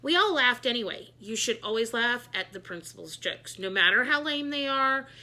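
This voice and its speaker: A woman's voice: on the high side, animated, moderate pace, pushed and bright. A woman reading a children's book as a wisecracking schoolboy who hates school. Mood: mocking. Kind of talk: children's book read-aloud.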